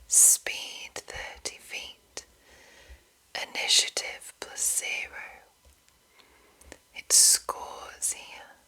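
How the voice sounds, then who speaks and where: A woman whispering softly and deliberately in an ASMR style, reading aloud close to the microphone with a breathy, unvoiced voice. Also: low-quality recording. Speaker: ASMR host reading a monster manual.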